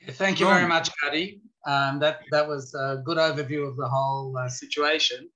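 A middle-aged man moderating a webinar Q&A from a home office, asking measured questions with fillers over a video call. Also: fairly high pitch, fairly even intonation, bright, forceful tone. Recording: muffled video call audio.

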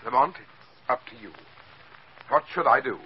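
A man plays a smooth, commanding schemer from a 1940s radio crime play. His delivery swings widely and theatrically, through a dull, hissy old recording. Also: mid-range voice, lively intonation, normal speed, lightly acted. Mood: falsely earnest. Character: smooth scheming male villain feigning concern as a friend.

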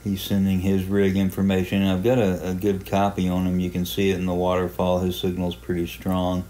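Low, monotone man explaining software. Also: fairly quick. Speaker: amateur radio hobbyist presenting a software walkthrough. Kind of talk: casual screen-recording tutorial narration.

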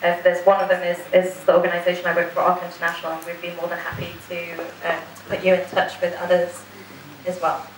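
A young woman presents informally to a workshop, rephrasing as she goes. Her intonation is very even. The room echoes and she sounds slightly distant. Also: fairly low pitch, quick pace. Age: young adult. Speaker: advocacy worker presenting. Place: conference room, indoors. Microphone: handheld microphone. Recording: roomy, slightly distant, faint noise.

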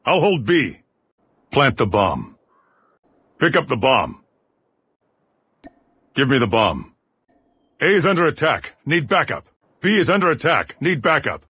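An actor voicing a gruff, aggressive soldier giving terse, commanding squad callouts in a military video game, with a rough, husky voice. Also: male voice, medium pitch, big pitch swings, measured pace, long pauses, bright, forceful tone, full character voice. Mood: alert, tactical. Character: gruff armored male soldier, aggressive squad mate in combat. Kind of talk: video game combat voice lines.

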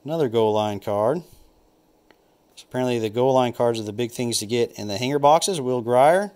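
A man's voice: medium pitch, normal speed. Man casually calling out trading cards. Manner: relaxed, thinking aloud, naming cards as he flips. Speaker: card collector opening packs. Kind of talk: casual unboxing commentary.

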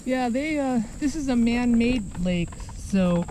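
A middle-aged woman chatting casually on a hike by a lake and along wooded trails, halting and restarting as she explains. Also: a little high, animated, dark tone, slight background noise. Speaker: vlogger on a hike. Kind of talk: casual outdoor chat. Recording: outdoor camera audio, insects and breeze behind.

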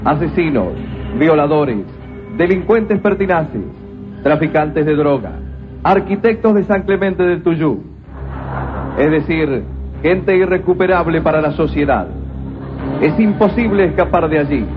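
Deadpan man narrating a radio play. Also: a little high, measured pace, long pauses. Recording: muffled radio broadcast with music.

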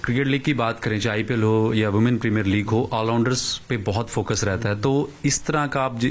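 Man, TV reporter interviewing. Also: on the low side. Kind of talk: conversational on-location interview. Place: small living room at home, indoors. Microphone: handheld reporter microphone.

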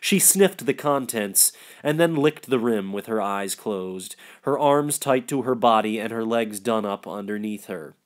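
A man's voice: lowish voice, lively intonation, measured pace, hardly any pauses. A man giving a scripted narration of literary fiction as the reader.

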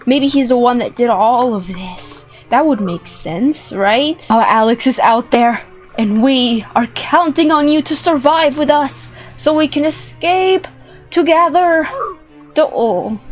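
A young woman giving playful, excitable, dramatic commentary as she plays a video game. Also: high-pitched, slow pace. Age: young adult. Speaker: gaming video host. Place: indoor room with plush toys. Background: music.

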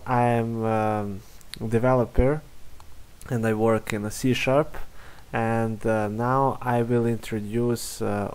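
A young man from Serbia with a Serbian accent, a software developer walking through a screen-recorded demo in halting English full of fillers. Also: on the low side, creaky. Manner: halting, many fillers and restarts.

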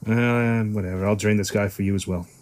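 Guy acting a jaded sci-fi organ dealer, lowish voice, gruff and sweary, bit of noise behind. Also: steady tone, fairly quick, a little mellow, natural voice. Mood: matter-of-fact, jaded. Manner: gruff, profane, offhand storytelling. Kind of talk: sci-fi audio drama acting.

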